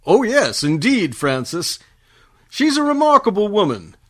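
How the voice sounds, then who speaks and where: Old-time radio host, male actor: high, lively pitch, polished and agreeable scripted banter. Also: unhurried, exaggerated voice. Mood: agreeable, admiring.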